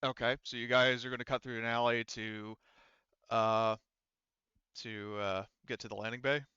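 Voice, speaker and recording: A man running a tabletop roleplaying game as game master, explaining things casually and haltingly with frequent restarts. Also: medium pitch, level tone, bright, forceful tone, clear, resonant tone, no echo, muffled recording.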